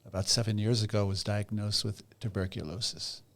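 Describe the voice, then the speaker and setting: Male podcast guest, moderately low register, colloquial and plain-spoken, recounting personal experience. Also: fairly even intonation, a little fast. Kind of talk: casual personal storytelling.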